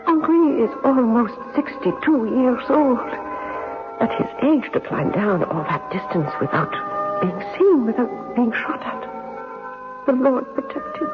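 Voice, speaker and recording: An actress playing a devoted, resourceful general's wife in an old-time radio drama, with an earnest, measured manner. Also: female voice, fairly high pitch, expressive. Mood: anxious. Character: devoted, resourceful French general's wife, anxious but practical. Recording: tinny, band-limited old radio broadcast, music.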